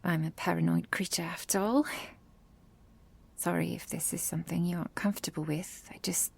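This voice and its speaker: A woman voicing a gentle, protective vampire girlfriend in an intimate ASMR roleplay, tender and slightly formal, often dropping to a breathy whisper. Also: lowish voice, steady tone, fairly quick, full character voice. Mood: reassuring, tender.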